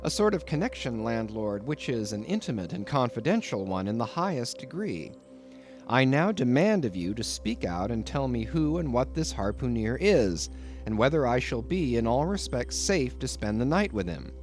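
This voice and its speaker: Man acting an indignant, excitable lodger, very animated. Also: mid-range voice, moderate pace, faint music behind, close to an everyday voice. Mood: stern, exasperated. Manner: pompous, emphatic, formal phrasing. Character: indignant, wordy young male lodger, excitable. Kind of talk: audiobook character voice.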